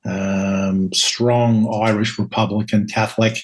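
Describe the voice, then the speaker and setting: A Scottish man in his fifties, a guest telling his life story in a reflective, hesitant way with many pauses and ums. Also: lowish voice, level tone. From Scotland. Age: 50s.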